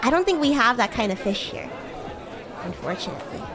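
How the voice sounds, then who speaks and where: A woman's voice: a little high, big pitch swings, brisk, crowd noise, voice pushed down. A woman voicing a bratty young demon schoolgirl in a roleplay stream, teasing and stammering when flustered. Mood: thoughtful. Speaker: VTuber streamer playing a character. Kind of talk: improvised roleplay livestream, chatting with viewers.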